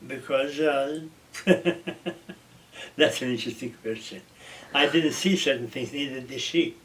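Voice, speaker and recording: A man's voice: medium pitch, measured pace. An older man joking wryly about his marriage in a casual chat. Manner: wry, joking complaints. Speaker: interviewee, husband. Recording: distant mic with loud background noise.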